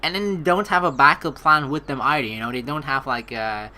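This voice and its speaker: A young woman doing let's play commentary, reading game text aloud in an animated, playful, blunt and casual way. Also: very deep voice, fairly quick.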